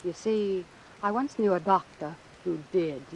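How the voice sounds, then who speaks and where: A woman's voice: fairly low pitch, a little slow. An actress playing an embittered, ambitious woman doctor in an old-time radio suspense drama, speaking in a cool, clipped, sardonic way. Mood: bitter, resolute. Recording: hissy vintage radio recording with rain. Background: hiss and falling rain.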